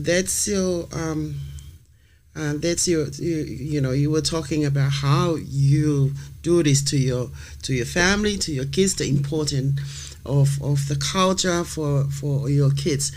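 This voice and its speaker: A male radio host who asks questions haltingly, full of fillers and restarts. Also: fairly high pitch. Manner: hesitant, searching for words with many fillers. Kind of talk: interview questions and backchannel.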